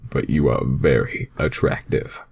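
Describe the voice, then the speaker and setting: A man voicing a cool, laid-back cartoon hedgehog hero with a casual, smooth-talking manner in a fan fiction story. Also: a bass voice, lively intonation, measured pace, darkish tone, a little hiss or hum, exaggerated voice. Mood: seductive.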